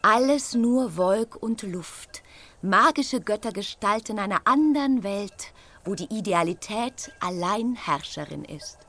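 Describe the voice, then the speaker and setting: An actress playing a poetic narrator, reading scripted literary scenery in a measured, lyrical way. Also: female voice, mid-range voice, lively intonation, a bit bright, heavily acted. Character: poetic female narrator of romantic landscapes.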